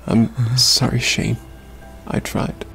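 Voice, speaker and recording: A man's voice: takes it slowly, bright, forceful tone, soft background music. A man acting a stoic, grieving, stubborn young police officer in an audio drama, subdued and terse.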